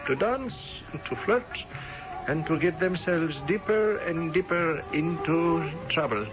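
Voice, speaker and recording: An actor playing an obsequious manservant in a radio drama, hesitant and flowery. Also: male voice, sits high. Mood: wry storytelling. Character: obsequious Indian manservant, flowery, timid, slyly superior. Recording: dull vintage radio drama recording.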